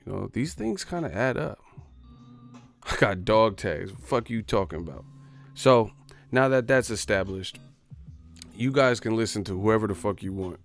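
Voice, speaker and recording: Man, boastful streamer, gravelly low voice, profane street slang, faint music behind. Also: animated, moderate pace, long pauses. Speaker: boxing YouTuber. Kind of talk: livestream rant.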